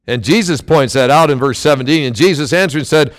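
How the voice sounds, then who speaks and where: A man preaching a sermon as pastor, loud and emphatic, with sudden swells and drops in his delivery. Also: a little high, quick pace.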